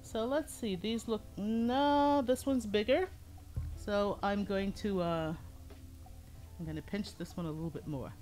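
A middle-aged woman with a low voice teaching a hands-on pottery lesson in a studio, explaining each step calmly as she works. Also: a little slow, soft background music. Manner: calm, explains step by step while working with hands. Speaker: ceramics teacher.

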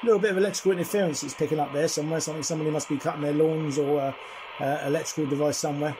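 A man chatting casually and at some length as he demonstrates a CB radio, in a friendly, slightly husky voice. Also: a little high, steady tone, very fast, noisy background. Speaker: CB radio reviewer and operator. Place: indoors at a home radio desk.

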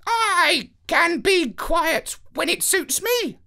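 Shrill, haughty storybook parrot. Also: male voice, animated, gravelly, pushed and bright, exaggerated voice. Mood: self-satisfied. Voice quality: shrill, squawky. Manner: pompous, drawn-out theatrical delivery. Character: haughty, vain genius parrot detective, squawky. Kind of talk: children's storybook character voice.

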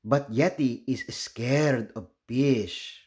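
A man's voice: medium pitch, drawn-out words, darkish tone. A man from the Philippines hosting a children's read-aloud, telling the story expressively and acting out the lines and sound words. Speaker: children's storybook reader and host.

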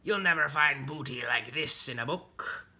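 A scornful pirate rabbit crewman from a storybook, acted by a man. He sounds gruff and dismissive, with a taunting delivery and a very sharp, forceful tone. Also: a little high, moderate pace, heavily acted. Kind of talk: storybook character voice.

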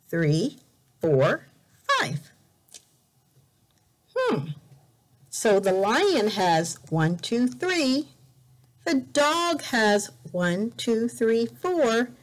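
A woman teacher reading a picture book aloud to children in a deliberate, exaggerated way, repeating words for learners, recorded indoors on a device camera. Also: a little high, big pitch swings. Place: indoors in a room by a bright window.

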